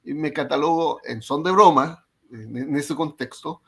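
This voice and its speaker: A man with a Chilean accent answers interview questions in a slightly high voice. He speaks in a measured way with wry humor and keeps checking in with "ya.". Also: gravelly, sharp tone, slightly rough. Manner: measured, self-deprecating humor, filler "ya". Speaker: psychologist guest.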